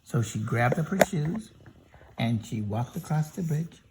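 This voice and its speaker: A man reading a children's story aloud, in an expressive way with chatty asides. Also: medium pitch, unhurried, heavy background noise. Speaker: storybook reader.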